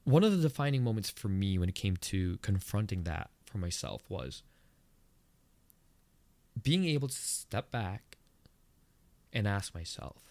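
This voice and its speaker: A man with a Filipino accent hosting his own podcast, talking through a personal topic in an earnest, conversational way and jumping up in pitch for emphasis. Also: very animated, measured pace, pauses often, darkish tone, dry recording. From Philippines. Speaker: podcast host. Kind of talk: solo reflective podcast talk.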